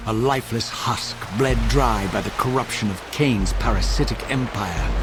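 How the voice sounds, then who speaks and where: A bitter undead wraith, played by a man in a fantasy game, speaks over prominent music. The voice is low and brooding, with formal, grandiloquent phrasing and a vengeful edge. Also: lightly acted. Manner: solemn, formal, grandiloquent delivery. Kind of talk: theatrical scripted game narration and dialogue. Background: dramatic game music.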